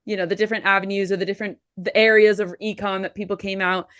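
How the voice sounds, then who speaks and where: A woman's voice: mid-range voice, level tone, fast, a bit bright, no room sound. A woman hosting a podcast interview, upbeat and chatty with fillers. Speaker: podcast host.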